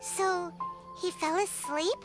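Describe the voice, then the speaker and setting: Super high squeaky little game fairy, bouncy delivery, music playing behind. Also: female voice, steady tone, takes it slowly. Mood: puzzled. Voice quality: squeaky, childlike. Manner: exclaiming, refers to self by name. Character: tiny cheerful childlike fairy companion, excitable. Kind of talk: video game voice acting.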